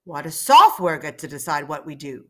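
Woman voicing a weary, low grumbling computer. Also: very animated, moderate pace, a little mellow. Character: gruff, weary, aggrieved computer hardware character. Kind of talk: children's storybook read-aloud.

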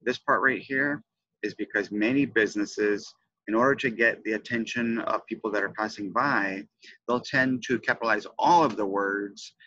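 Bright-voiced middle-aged man teaching, low pitch. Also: dry recording, highs cut off. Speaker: teacher trainer presenting a lesson. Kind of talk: instructional lesson explanation. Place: indoors, webcam presentation.

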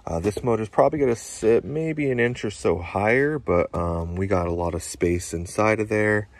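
A man's voice: on the low side, normal speed, no room sound. A man in his thirties, an HVAC technician narrating a repair outdoors while he works, plain-spoken with many fillers. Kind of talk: narrating a repair job on camera. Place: outdoors beside a home's heat pump. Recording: noisy outdoor job site with tool clatter.

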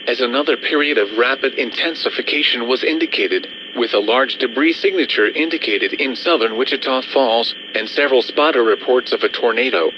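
A synthetic male announcer reads a formal weather bulletin over the radio. His delivery is impersonal and his pitch sits in the middle range. The broadcast sounds dull and lo-fi, with clear noise. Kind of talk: scripted weather bulletin narration.

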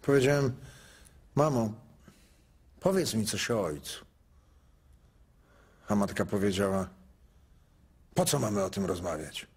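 A male actor playing a grown son in a radio drama, speaking in a measured, heavy, quietly pleading way. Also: on the low side, lively intonation, slightly rough, full character voice. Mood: weary, pleading. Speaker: son narrating his story.

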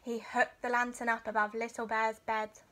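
A woman's voice: fairly high pitch, level tone, moderate pace, pushed and bright. A young adult woman narrating a children's bedtime story expressively, like a teacher reading aloud indoors. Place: small furnished room indoors.

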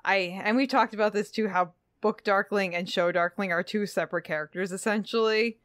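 A woman's voice: medium pitch, brisk, a bit bright, dry recording, clean studio sound. A woman co-hosting a podcast, chatting casually and playfully about books. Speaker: podcast co-host. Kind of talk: casual, playful book discussion.

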